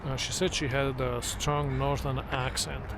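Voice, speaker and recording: Slow-talking man, non-native English. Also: medium pitch, little pitch movement, gravelly. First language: not English. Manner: unhurried, reflective, with many restarts and fillers. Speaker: vlogger. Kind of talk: casual walking vlog monologue. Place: outdoors near trees and traffic. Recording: outdoor traffic noise. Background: street traffic noise.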